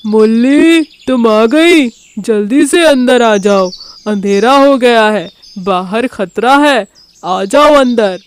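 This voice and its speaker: A woman voicing a grumbling, hungry lion king in an animated moral story, with drawn-out, sulky delivery. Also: fairly high pitch, smooth. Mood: luring.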